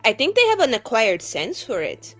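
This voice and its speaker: A woman's voice: a little high, huge pitch swings, moderate pace, a bit bright, faint music behind. A woman playing in a tabletop role-playing game, bantering casually in character with a chatty, slangy, playful manner.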